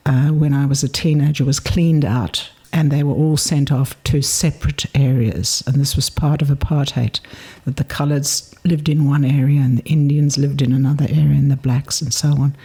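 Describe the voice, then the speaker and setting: A woman in her seventies from South Africa, reminiscing in an interview, telling her story in a reflective, measured way with pauses. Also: deep voice, dark tone, slightly rough. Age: 70s.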